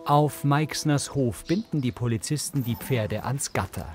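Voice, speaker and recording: A man's voice: mid-range voice, a little slow. Matter-of-fact male narrator over music. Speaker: audio description narrator. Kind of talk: scripted narration of TV scenes, present tense.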